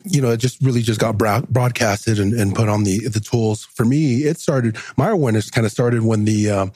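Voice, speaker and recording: A man's voice: lowish voice, normal speed, darkish tone, dry recording, clean studio sound. A middle-aged man serving as a church stake counselor, talking in a rambling way with many restarts. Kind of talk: casual discussion.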